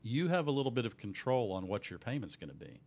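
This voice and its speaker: A middle-aged man, a little hoarse, explaining loans as a guest on a radio talk show in a small studio with a desk mic. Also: mid-range voice, very animated, fast talker, a little mellow. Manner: earnest, explanatory, many restarts. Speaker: mortgage company owner, guest. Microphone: desk microphone on boom.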